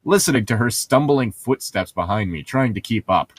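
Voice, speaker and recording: A man's voice: mid-range voice, expressive, moderate pace, slightly rough. A man co-hosting a joking group read-along, with a teasing, sardonic way of talking. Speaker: podcast co-host reading a novel aloud.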